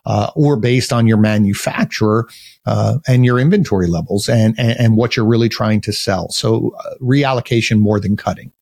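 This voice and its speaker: A man with a loud, big voice, a marketing agency owner speaking as a podcast guest, explaining earnestly in long, rambling sentences. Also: lowish voice, moderate pace, gravelly, no room sound. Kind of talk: casual interview chat.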